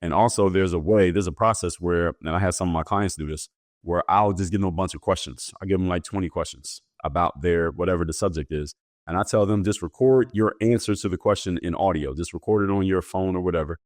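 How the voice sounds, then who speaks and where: A male performance coach, a guest on a podcast, giving steady, matter-of-fact explanations. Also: low voice, a little fast, no echo, studio quality. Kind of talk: interview answers.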